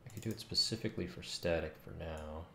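Low, gravelly man muttering to himself. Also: fast. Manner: muttering in short fragments. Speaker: game developer streaming coding. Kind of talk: thinking aloud while coding. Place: small room at home. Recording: roomy, noisy, keyboard clicks. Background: keyboard typing and mouse clicks.

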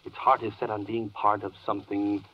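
An actor playing a persuasive, theatrical defense lawyer in a 1940s rhymed radio drama. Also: male voice, fairly low pitch, little pitch movement, fairly quick, a little hiss or hum, close to an everyday voice. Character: smooth-talking, persuasive male defense lawyer. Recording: dull, distant 1940s radio recording.

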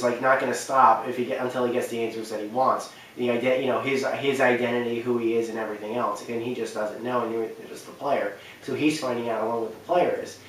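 A young man hosts a casual game countdown in a rambling, emphatic way. His voice is mid-pitched. It is picked up from a distance in a very echoey room. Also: fairly bright tone, a little hiss or hum. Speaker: video countdown host. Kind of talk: casual, unscripted game commentary. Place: indoor wood-panelled room, echoey. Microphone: camera mic a few feet away.

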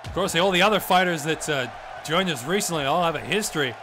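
Profane, excitable wrestling commentator. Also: male voice, a little high, quick pace. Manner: excited, profane, drops in "mate" often. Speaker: play-by-play commentator. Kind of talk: wrestling commentary on a video game match. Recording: noisy background.

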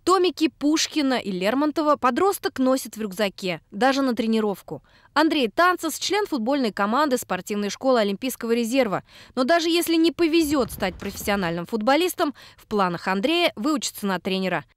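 A woman narrating a TV news report as a voice-over, measured and expressive. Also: fairly high pitch, no echo. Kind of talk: scripted narration.

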